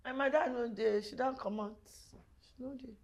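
A woman's voice: fairly high pitch, fairly even intonation, moderate pace, close to an everyday voice. A woman playing a cheeky, suspicious Nigerian housemaid who talks in Pidgin, in a screen drama.

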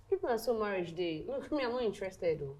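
A woman acting as a bold young Nigerian wife in a screen drama. Her mid-pitched voice moves animatedly as she rallies the girls. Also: expressive, moderate pace, darkish tone, natural voice. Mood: defiant.

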